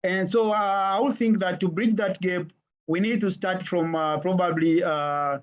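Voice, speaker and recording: Halting man on a video call. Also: high voice, steady tone, dull audio. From Tanzania. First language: Swahili. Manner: halting, many fillers and restarts. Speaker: archaeologist. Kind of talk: webinar panel answer.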